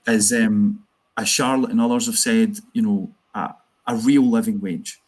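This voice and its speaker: Glaswegian male union organiser, fairly low voice, earnest campaigning delivery. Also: steady tone, a little mellow. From Scotland. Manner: earnest, campaigning, quick asides. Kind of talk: online panel talk.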